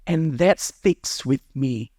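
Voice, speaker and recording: A man's voice: mid-range voice, slow pace, darkish tone, dry recording, studio quality. A man, a friar sharing his personal story with a small group in an earnest, conversational way, speaking into a microphone. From Indonesia. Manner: earnest, conversational, rephrases as he goes.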